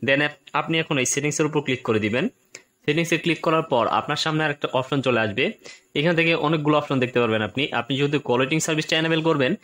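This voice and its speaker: A man's voice: fairly high pitch, a bit bright. A man presenting a step-by-step phone tutorial, explaining things with repetitive phrasing.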